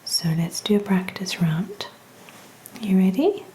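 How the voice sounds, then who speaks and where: A woman speaking in a breathy whisper, gently reassuring and encouraging, as an examiner in an ASMR medical role-play. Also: medium pitch, very animated, slow pace, a little hiss or hum. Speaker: ASMR performer role-playing a hearing examiner.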